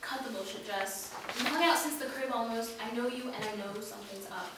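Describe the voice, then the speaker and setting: A woman's voice: on the high side, brisk, lightly acted. A woman playing a blunt, caring teenage best friend in a staged script reading. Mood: blunt, pressing. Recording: very echoey room, distant mic, noisy.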